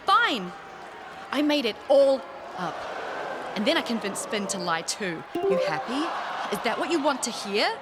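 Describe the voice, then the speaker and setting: A woman's voice: a little high, big pitch swings, measured pace, background noise. A woman playing a defiant, scheming young pro wrestler in a video game cutscene. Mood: bitter, defiant.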